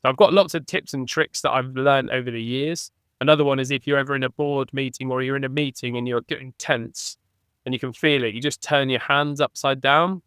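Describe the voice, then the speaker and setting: A man who played rugby, a podcast guest reflecting conversationally and thinking aloud with restarts, getting animated on key points. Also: mid-range voice, brisk, clear, resonant tone, no room sound, studio quality. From England.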